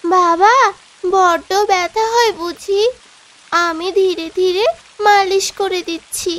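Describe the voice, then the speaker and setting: Woman acting a very high-pitched little girl. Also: steady tone, slow, slight background noise, low-quality recording. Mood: worried, loving. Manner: plaintive, childlike. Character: sweet little village daughter, devoted to her father. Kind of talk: Bengali fairy-tale cartoon dubbing.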